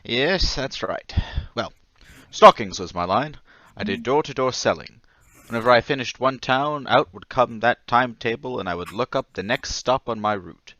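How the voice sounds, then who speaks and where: A man's voice: medium pitch, lively intonation, moderate pace, sharp tone, no room sound, muffled recording. An actor playing a meek, forgetful former travelling salesman in old-time radio drama style, hesitant, apologetic and fretful. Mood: bitter, troubled.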